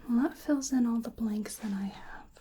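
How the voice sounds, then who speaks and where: A woman doing soft-spoken ASMR roleplay, largely whispering, gently and hesitantly murmuring to the listener in a breathy voice. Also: a little high, moderate pace, a little hiss or hum.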